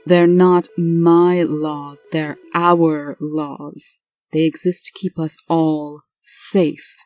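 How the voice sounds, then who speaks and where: A prim, commanding woman in charge played by a voice actress speaks in a low, dark voice. She is formal and scolding, an exasperated sister in a comic dub, recorded very dry. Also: flat delivery, very slow, no room sound, faint music behind. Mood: insistent.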